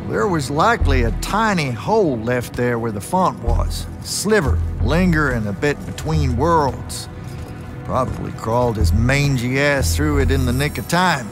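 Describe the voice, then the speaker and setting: A sardonic old mentor figure from a video game, drawling and folksy, in a mid-pitched voice. A full orchestral score plays behind him. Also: male voice, expressive, a little slow, music in the background. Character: wounded, gruff older male mentor, sardonic. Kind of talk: video game cutscene acting.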